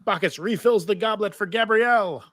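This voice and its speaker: A man's voice: sits high, moderate pace, a bit bright, no room sound, clean studio sound. A man narrating stage directions in a live table read of a TV script.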